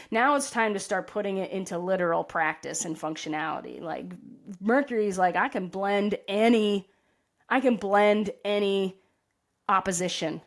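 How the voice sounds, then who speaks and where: A woman with a raspy voice giving a casual astrology forecast, rambling and correcting herself with many asides and tag questions. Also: mid-range voice. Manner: rambling, self-correcting, frequent "right?" and "you know". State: tired voice.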